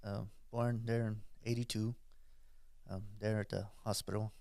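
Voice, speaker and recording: Male veteran guest, 40s, from South Texas, low gravelly voice, dry close sound. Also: level tone, normal speed, dark tone, no echo. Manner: plainspoken, hesitant, many fillers. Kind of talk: casual interview.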